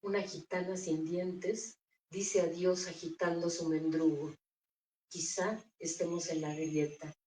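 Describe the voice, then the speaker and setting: A woman reading her own poems aloud in a measured, deliberate recital at a bookstore. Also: sits low, mellow, recorded from a distance, slight background noise. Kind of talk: poetry reading. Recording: echoey room, voice off-mic.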